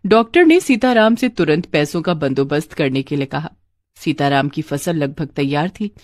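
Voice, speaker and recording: A woman's voice: low-pitched, lively intonation, normal speed, darkish tone, no echo. A woman narrating a scripted village cartoon story.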